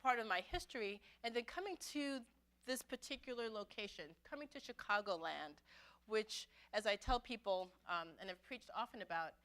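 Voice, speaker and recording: A middle-aged woman from Brooklyn, a pastor telling her own story to an adult forum in a conversational, reflective way, often pausing and restarting. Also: medium pitch, lively intonation, fairly bright tone. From Brooklyn, New York City. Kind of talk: personal testimony talk. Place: church meeting room.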